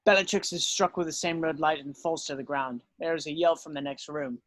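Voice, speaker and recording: A man narrates stage directions from a script. His voice sits a little high for a man, and the sound is dry and free of room echo. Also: fairly even intonation, a little fast, a bit bright.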